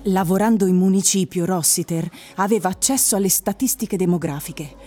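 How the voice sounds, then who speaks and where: A woman narrator reading literary prose for a radio drama in a measured storytelling manner. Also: lowish voice, dark tone.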